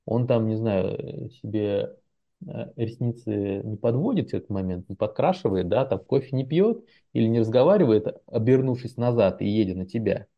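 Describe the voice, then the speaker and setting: A man's voice: fairly low pitch, moderate pace, highs cut off. A man, a professional translator, talking casually as an interview guest, telling stories loosely with fillers and restarts. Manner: relaxed storytelling with many fillers and restarts.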